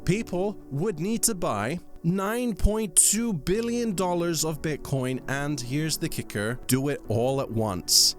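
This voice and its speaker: A man from London with a mixed English, Irish and American accent, presenting scripted vlog commentary with rhetorical questions and deliberate pauses. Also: a little high, lively intonation, faint music behind. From London, England. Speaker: host of a crypto video channel.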